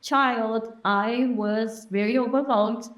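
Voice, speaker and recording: A woman's voice: on the high side, steady tone. Reflective woman, roomy echoey recording. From Argentina. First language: Spanish. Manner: reflective, unhurried, thinks aloud. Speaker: guest. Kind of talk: casual conversational interview.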